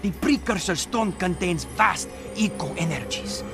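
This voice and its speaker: An actor voicing an irritable, sarcastic talking bird in a video game, swinging between pompous mystical pronouncements and snappy complaints. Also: male voice, sits high, animated, measured pace, vocal fry, over music, full character voice. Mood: pompous, mocking. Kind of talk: animated video game cutscene acting.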